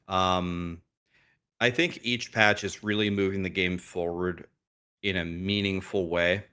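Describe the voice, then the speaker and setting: A man who is a guest on a podcast, talking casually and frankly while his voice is going hoarse. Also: low-pitched, pushed and bright. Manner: frank, thinks aloud with restarts. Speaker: guest streamer.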